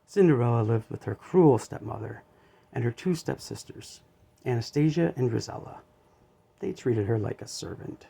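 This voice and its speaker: A man's voice: mid-range voice, expressive, moderate pace, gravelly, warm tone, slightly rough. A man narrating a children's storybook aloud.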